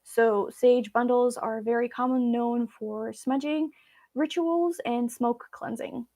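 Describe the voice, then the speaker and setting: A woman's voice: on the high side, fairly even intonation, slow pace, warm tone. A woman from Belarus, a native Russian speaker, chatting casually and informally with viewers as she hosts a live Q&A. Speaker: vlog host.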